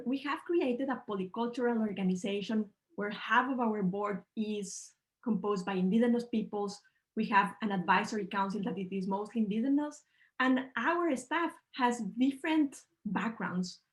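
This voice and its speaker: A woman's voice: fairly high pitch, rough recording. Earnest woman from Ecuador presenting. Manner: earnest, measured, slightly non-native phrasing. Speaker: panel speaker. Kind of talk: online presentation talk. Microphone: video call.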